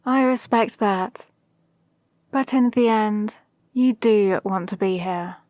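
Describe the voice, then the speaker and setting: A woman playing a calm, possibly mad villain sorceress in an ASMR roleplay, speaking to an apprentice in a measured, condescending, gently dominant way. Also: medium pitch, no echo, studio quality, natural voice. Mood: knowing, gently dominant. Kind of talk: ASMR roleplay with whispered asides. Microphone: close, dry microphone.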